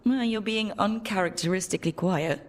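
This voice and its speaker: A woman playing a steady, supportive hero and party leader in a fantasy game, speaking calmly and reassuringly. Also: medium pitch, brisk, close to an everyday voice. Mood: concerned, wry. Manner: calm, reassuring.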